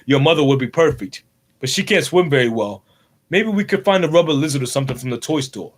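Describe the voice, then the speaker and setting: Kindly cop dad, played by a man, patient, dutiful, conversational, with lively intonation. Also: medium pitch, quick pace, close to an everyday voice. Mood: playful. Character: kindly policeman father of a young boy, patient, dutiful.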